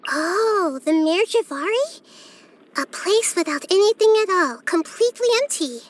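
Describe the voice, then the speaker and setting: A woman voicing a wise, gentle, curious child-like goddess in a video game, with a light youthful voice and earnest, thoughtful phrasing. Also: very high-pitched, expressive, measured pace. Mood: wistful. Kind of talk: video game character voice lines.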